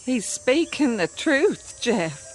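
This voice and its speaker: A woman's voice: a little high, sing-song, slow, faint music behind. An actress playing a wary, tender country mother in a radio drama. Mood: awed.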